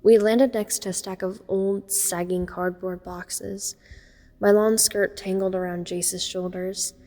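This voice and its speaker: A woman's voice: mid-range voice, little pitch movement, a little slow, mellow, natural voice. A woman playing a teenage girl who narrates her horror ordeal in the first person, observant and uneasy. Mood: wry, fond. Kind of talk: first-person dramatic audio story narration.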